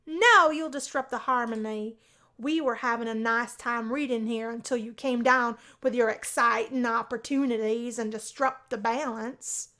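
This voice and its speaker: A woman's voice: on the high side, fairly even intonation, normal speed, sharp tone. A woman voicing a quirky, cheerful young female roommate in a visual novel, talking in a bubbly, playful way. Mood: mock-stern.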